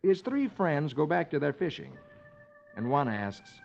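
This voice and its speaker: A man's voice: on the high side, big pitch swings, measured pace, gravelly, darkish tone, faint music behind. A man with an American accent hosting and reading comics to children in a theatrical 1950s radio storytelling style. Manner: theatrical, sing-song rhymes. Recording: muffled old radio recording.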